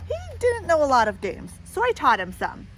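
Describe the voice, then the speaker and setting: A woman reading a children's picture book aloud in an animated way, pausing to put questions and little comments to young listeners. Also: sits high, a little hiss or hum. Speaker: storybook reader.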